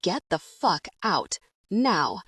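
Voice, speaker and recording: A woman's voice: on the low side, big pitch swings, slow pace, fairly bright tone, exaggerated voice. A woman voicing a hot-tempered young male mob heir who talks bluntly and swears in an audiobook. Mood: furious. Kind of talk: audiobook character reading.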